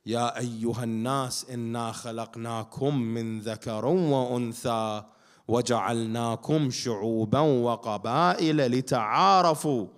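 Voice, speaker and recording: A man who was born in Iraq and grew up in Canada, delivering a sermon at a Muharram gathering. He recites Arabic verses and translates them, and moves from quiet reflection to loud, emphatic declaration. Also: medium pitch, clear, resonant tone.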